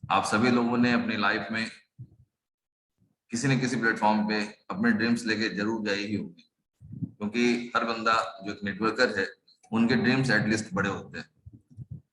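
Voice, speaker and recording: A hoarse man gives a motivational talk over a video call. His low voice stays flat in pitch, and the room echoes around it with a faint noise underneath. He speaks in rousing, slogan-like phrases. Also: normal speed, pauses often. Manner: rousing, slogan-like phrasing mixing in English words. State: hoarse throat. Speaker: guest speaker, network marketing leader. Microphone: video call microphone.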